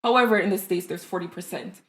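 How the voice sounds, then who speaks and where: A woman's voice: fairly low pitch, fast, vocal fry, a little hiss or hum. Jamaican-accented woman in her twenties. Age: 20s. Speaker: podcast co-host. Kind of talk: casual podcast chat. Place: small living room studio. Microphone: desk studio mic.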